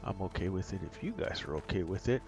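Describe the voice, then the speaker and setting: A man's voice: deep voice, very animated, fast. A man giving laid-back, offhand, casual commentary as he plays through a video game guide. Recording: game music behind the voice.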